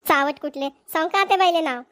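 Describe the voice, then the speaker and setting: A high, quick voice of a woman acting as a young village daughter-in-law in a homemade cartoon comedy. She is dutiful and bashful, with a Varhadi Marathi accent. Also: a bit bright. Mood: playfully scolding.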